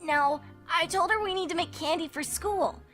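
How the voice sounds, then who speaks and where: A woman's voice: very high voice, moderate pace, fairly bright tone, soft background music, voice pushed up. A woman voicing a testy, blunt young tomboy pegasus filly in a cartoon fanfic reading. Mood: exasperated.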